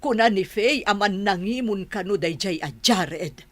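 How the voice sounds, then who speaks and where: A woman's voice: mid-range voice, unhurried, natural voice. A woman playing an indignant, easily shocked middle-aged mother in a radio drama.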